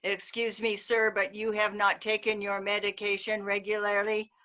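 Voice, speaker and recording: A prying pizza clerk who knows far too much, read by a woman in a crisp, bright, tense voice. She is polite and coolly formal. The sound is dull and lo-fi, as from a video call. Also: mid-range voice, little pitch movement, normal speed, close to an everyday voice. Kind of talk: amateur readers theater over video call.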